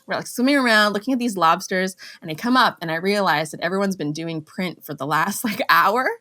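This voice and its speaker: A young actress from Canada, chatting as an interview guest, telling lively anecdotes with fillers and laughs. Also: female voice, medium pitch, a little fast. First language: Cantonese. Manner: chatty storytelling, laughs often, many fillers. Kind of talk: casual interview chat.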